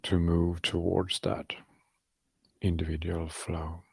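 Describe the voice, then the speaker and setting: A man guides a meditation in a low, creaky voice that barely rises or falls. He talks calmly in short, halting phrases, leaving long gaps between them. The delivery is soft and unhurried. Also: no room sound. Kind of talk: guided meditation, softly spoken instruction.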